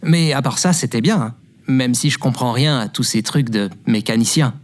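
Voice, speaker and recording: A man's voice: medium pitch, moderate pace, close to an everyday voice. An actor playing a clumsy, good-hearted young man in a French audio drama, hesitant and boyish in his manner. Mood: gentle, candid. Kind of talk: French audio fiction acting with narration. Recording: music behind the voice. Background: music.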